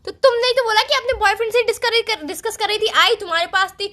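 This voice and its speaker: A woman's voice: very high voice, fast, sharp tone. A young woman arguing casually on a phone call, questioning insistently and pleading with her partner.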